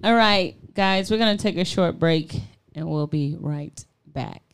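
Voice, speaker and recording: A woman's voice: fairly low pitch, a little slow, vocal fry, smooth. A young woman from the southern US co-hosting a casual faith talk show, chatty and laughing often. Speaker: co-host.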